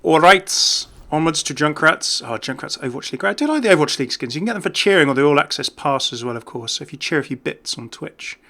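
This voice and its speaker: A man's voice: a little high, expressive, quick pace, creaky, few pauses, pushed and bright. A man giving casual, off-the-cuff game commentary as a YouTuber, chatty and jokey, rambling from one remark to the next. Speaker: gaming YouTuber.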